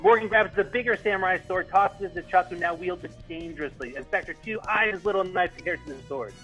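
A man's voice: high-pitched, fast talker, a bit bright, faint music behind. A man narrating stage directions at a comedy table read, informal, with stumbles and ad-libs.

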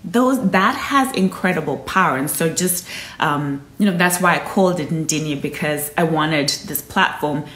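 A woman's voice: low-pitched, moderate pace, fairly bright tone. A woman in her thirties from Zimbabwe, a Shona speaker, hosting a live stream from a room at home into a phone held at arm's length, talking earnestly and encouraging her viewers. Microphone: phone camera at arm's length. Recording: echoey room.

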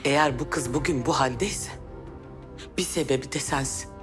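A woman's voice: very deep voice, moderate pace, over music. An actress playing an earnest, reproachful woman in a TV drama, pleading emphatically for mercy. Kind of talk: TV drama acting.

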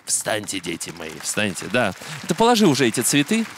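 A man's voice: fairly low pitch, huge pitch swings, fairly quick, a bit bright, slight background noise. A man hosting a TV show in a studio, playful and ironic. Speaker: TV show host. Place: TV studio set. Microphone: handheld.

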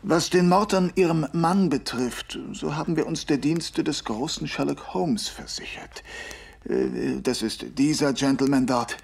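An actor playing a courteous, formal police inspector in an audio drama, official and a little fussy. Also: male voice, on the high side, measured pace, vocal fry, darkish tone, slightly rough, heavily acted. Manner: official, polite, somewhat fussy.

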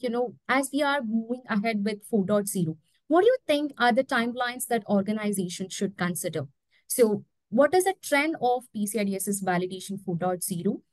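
A woman's voice: a little high, lively intonation, moderate pace, no room sound, rough recording. A woman moderating an online panel discussion and putting questions to a speaker over a video call.

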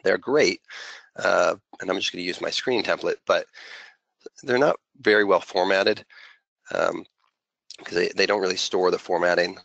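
A man presenting an unscripted software walkthrough as a consultant, conversational and peppered with filler phrases. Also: low voice, normal speed, gravelly, pauses often, no echo, highs cut off. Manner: conversational, heavy use of "you know" as filler.

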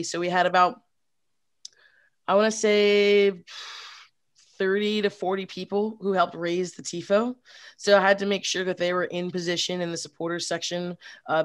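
A young woman chatting on a video call with a headset, telling her story in an energetic, rambling way, full of "like". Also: fairly low pitch, clear, resonant tone, dry recording. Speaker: supporters group organizer. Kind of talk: casual video call chat.

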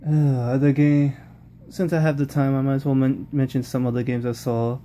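Man, casual football vlog reactions, mellow dark tone, faint hiss behind. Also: medium pitch, flat delivery, normal speed. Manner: rambling, repeats "like, seriously". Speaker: football vlogger. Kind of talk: casual post-game commentary.

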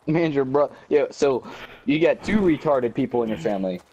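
A man's voice: medium pitch, normal speed, a little hiss or hum, poor audio. A young man with a New York accent, teasing people during a prank call and game voice chat. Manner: lively, teasing, often laughs. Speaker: prank caller and gamer. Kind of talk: casual chat over voice chat.

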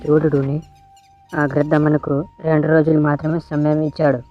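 A man's voice: fairly high pitch, level tone, moderate pace, soft background music, natural voice. A man voicing a worried, poor parent sparrow in a scripted cartoon moral story. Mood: worried, urging. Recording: dull lo-fi audio.

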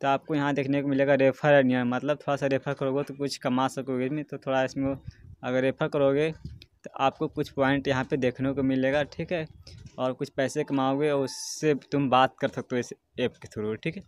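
A man's voice: medium pitch, flat delivery, normal speed, smooth, dry recording. A man presenting a casual step-by-step tutorial as host, often adding a short check-in tag. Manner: repeatedly checks in with a tag phrase.

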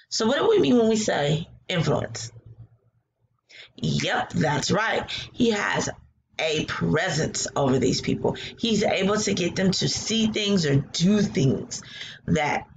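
A woman's voice: mid-range voice, a little hiss or hum. A woman teaching a read-aloud lesson from her living room on a laptop webcam, encouraging and praising her students as she goes. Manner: animated and encouraging, praising answers. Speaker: teacher reading aloud to a class. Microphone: laptop webcam at close range.

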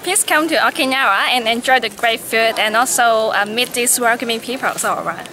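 A woman's voice: on the high side, brisk, rough recording. A woman in her twenties with a Hong Kong accent, answering casually in an interview. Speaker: tourist interviewee. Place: outdoors in an open courtyard. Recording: loud outdoor background noise.

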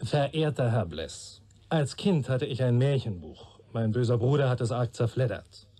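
Husky, wry young male detective. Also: mid-range voice, expressive, normal speed, mellow. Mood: wry, reasoning. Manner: wry, argumentative. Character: keen, sardonic young male police detective trainee. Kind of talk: German radio play acting.

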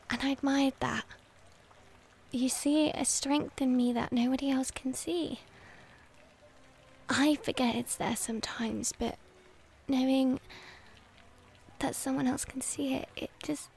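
A woman playing a shy, devoted young werewolf girlfriend in an intimate ASMR roleplay, speaking hesitantly and tenderly in a breathy voice that often drops toward a whisper. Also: sits high, a little mellow, full character voice. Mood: confiding, admiring. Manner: hesitant, tender, halting delivery.

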